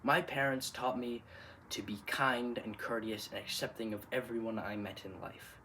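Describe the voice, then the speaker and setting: A man performing a dramatic monologue as a wounded, quietly bitter son, speaking in a subdued, earnest and deliberate way. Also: medium pitch, steady tone, a little hiss or hum, poor audio.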